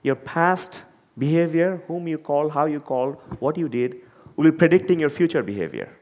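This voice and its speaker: Man, Bangladeshi accent, earnest stage talk, slightly high voice, long hall echo. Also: animated, moderate pace, darkish tone. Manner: earnest, emphatic, repeats words when searching. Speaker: conference speaker.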